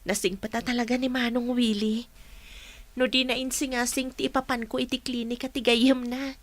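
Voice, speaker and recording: Actress as helpful, teasing kid sister of a bride, high-pitched, radio drama. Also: female voice, flat delivery, moderate pace, close to an everyday voice. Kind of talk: Ilocano radio-style drama acting.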